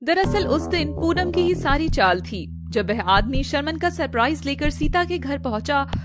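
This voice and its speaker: A woman's voice: sits high, lively intonation, moderate pace, over loud music. A woman narrator reading a scripted story in a dramatic style.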